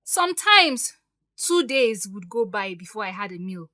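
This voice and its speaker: A woman's voice: medium pitch, sing-song, measured pace, sharp tone, dry recording, studio quality. A woman with a Nigerian accent playing a hurt, defiant young stepdaughter in an audio drama, speaking with emphatic, pleading firmness. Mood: wounded, reproachful. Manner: emphatic, pleading yet firm.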